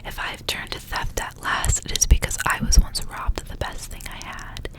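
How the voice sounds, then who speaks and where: A woman whispering as an ASMR host, reading stories aloud with casual asides in a hushed, unvoiced delivery. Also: moderate pace. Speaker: ASMR reader, host of the channel.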